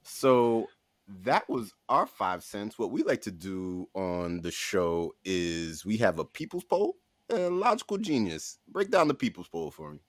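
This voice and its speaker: A man's voice: lowish voice, very animated, measured pace, no echo. A man hosting a podcast in a casual, chatty style. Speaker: podcast host.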